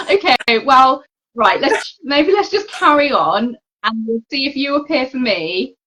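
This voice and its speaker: A woman's voice: sits high, fairly bright tone, husky. A woman hosting a live online chat, talking in a friendly, chatty way with many fillers over a video call. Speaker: host. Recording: dull lo-fi video call audio.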